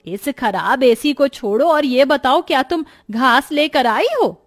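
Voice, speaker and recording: A woman's voice: high voice, lively intonation, unhurried, faint music behind. Woman as a blunt, scolding cartoon mother-in-law. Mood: disapproving. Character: scolding older mother-in-law, worried grandmother. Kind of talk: Hindi moral-story cartoon voice acting.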